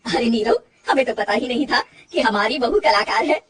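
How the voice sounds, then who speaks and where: A woman voicing a bossy but kindly older mother-in-law who gives orders loudly. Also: fairly high pitch, moderate pace, recorded from a distance, close to an everyday voice. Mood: proud. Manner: loud, commanding, motherly.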